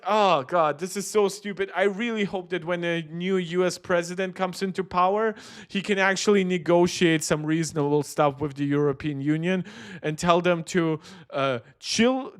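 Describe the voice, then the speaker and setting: A young man from Germany giving rambling, opinionated live-stream commentary, full of restarts and fillers. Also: high voice. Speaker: streamer reacting to a tech video.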